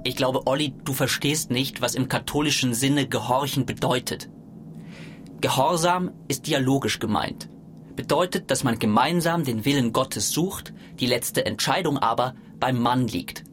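Male, mid-pitched, conversational but instructive, light ambient noise behind. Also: moderate pace, fairly bright tone. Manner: explanatory, didactic. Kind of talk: conversational explanation of religious teaching.

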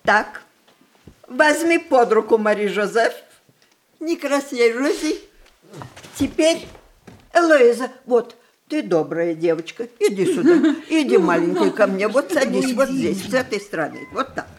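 Mischievous old matriarch, high voice, commanding, capricious, theatrical radio drama delivery. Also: female voice, lively intonation, measured pace. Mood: bossy, affectionate. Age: older. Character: willful, mischievous old grandmother, matriarch of the family. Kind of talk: Russian stage-play radio acting.

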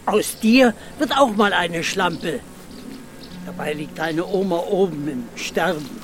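A bitter old villager in a radio drama, muttering scorn at a slow, dragging pace. The pitch sits in the middle, and a faint insect-like buzz hangs behind the fatalistic words. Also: lively intonation, noisy background. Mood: scornful, muttering.

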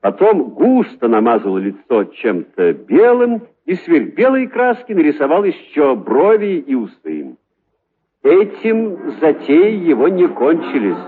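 A man narrating a children's story in an expressive, scripted storytelling style. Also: a little high, measured pace, narrow, tinny audio, a little hiss or hum.

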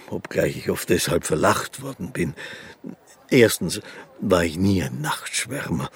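Poor old fiddler in a radio drama, deep n croaky, halting, polite, scrupulous, kinda serene. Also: male voice, exaggerated voice. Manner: hesitant, halting, formal and courteous. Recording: studio radio play with faint ambient noise.

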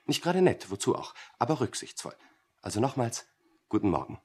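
An actor playing a pedantic, unworldly young office thinker in a German radio drama, dry, exact and over-literal. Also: male voice, medium pitch, very animated, fairly quick, darkish tone, breathy edge.

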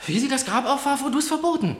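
An actor playing a blunt, excitable guard who gives emphatic, agitated reports in a verse tragedy radio play. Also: male voice, extremely high pitch, quick pace, echoey room. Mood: excited report. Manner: emphatic, breathless storytelling, swinging from cries to muttering.

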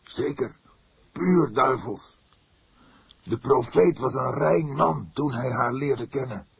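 A fiery, pious father declaims solemnly in an audiobook. His voice is pushed high and forceful, with a husky grain. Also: slow pace, exaggerated voice. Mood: fervent. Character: fervent, pious long-haired religious father, solemn and preachy. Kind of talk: audiobook character acting.

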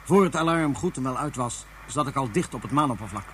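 An actor playing a terse, commanding spaceship pilot in a radio drama, reporting in clipped phrases. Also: male voice, mid-range voice, animated, brisk, fairly bright tone, slight background noise, lightly acted. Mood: businesslike. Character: terse male highborn spaceship pilot on a covert mission.

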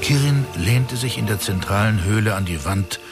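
An actor narrating a fantasy radio drama as a storyteller, with expressive, measured delivery. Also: male voice, on the low side. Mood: hushed storytelling. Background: clear music.

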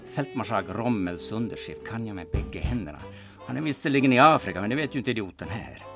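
Blustering, muddled old forger, wildly animated. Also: male voice, on the low side. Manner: rambling, grumbling, blustering. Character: muddled, cranky older male forger roused from drink. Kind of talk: old-time radio comedy acting.

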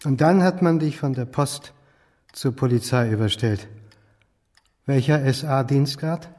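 A man plays a middle-aged interrogating son in a radio drama. His voice is husky and mid-pitched, his manner clipped and insistent, pressing with accusing questions. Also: measured pace, darkish tone, lightly acted. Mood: probing. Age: middle-aged, about 40.

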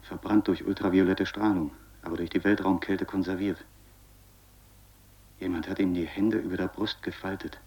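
An actor playing an uneasy, stubborn astronaut who reports tersely and haltingly over an intercom in a German science-fiction radio play. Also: male voice, sits low, fairly even intonation, slightly rough. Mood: grave, reporting. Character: uneasy, stubborn astronaut exploring a wrecked asteroid station. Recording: dull, lo-fi radio sound.

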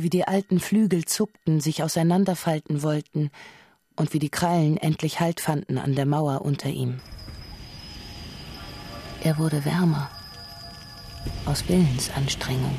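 A woman's voice: low-pitched, fairly even intonation, measured pace, pauses often, mellow. A woman narrating a literary radio drama in a scripted storytelling style. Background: music.

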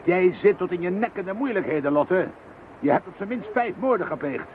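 An actor playing a headstrong, insistent chief police inspector in a radio drama. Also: male voice, high-pitched, expressive, fairly quick. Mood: stern, pressing. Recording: tinny, band-limited, hissy radio play recording.

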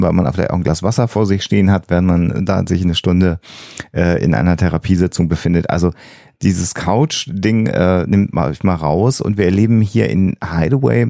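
A man's voice: low-pitched, gravelly, mellow, no room sound, clean studio sound. A man co-hosting a podcast, explaining things in a conversational way. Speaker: podcast co-host.

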